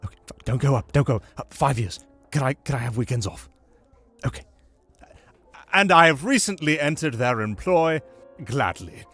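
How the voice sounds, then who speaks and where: A man's voice: medium pitch, expressive, moderate pace, fairly bright tone, no echo, soft background music. A man playing a nervous, eager-to-please nobleman who stammers and fawns in an audio roleplay drama. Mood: nervous, pleading. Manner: stammering, fawning, anxious.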